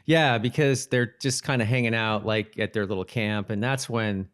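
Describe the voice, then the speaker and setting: A man's voice: fairly low pitch, steady tone, moderate pace, a bit bright, clean tone. A man co-hosting a podcast, casually recapping a television episode in loose, colloquial talk. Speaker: podcast co-host.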